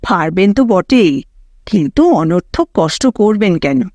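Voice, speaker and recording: A middle-aged gentleman from an audio story, voiced by a woman narrator. He speaks courteously and with friendly insistence, a little forward with strangers. The sound is dry and close. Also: medium pitch, sing-song, a little slow, no echo, dull audio, pitched below the performer's own voice. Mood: courteous, insistent. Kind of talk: audiobook character dialogue.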